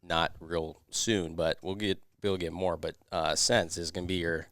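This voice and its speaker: A man co-hosting a casual podcast, easygoing and quick to laugh. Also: deep voice, flat delivery, a bit bright, dry recording. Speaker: podcast co-host.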